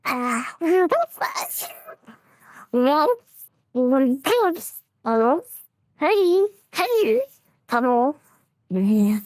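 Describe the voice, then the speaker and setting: A man voicing a sweet, clueless young girl through a pitch-shifting voice changer, chatting casually in a gushing way. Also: very animated, extremely slow, pauses often, dry recording, clean studio sound, full character voice. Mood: gushing, excited. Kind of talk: casual game chat, live stream prank.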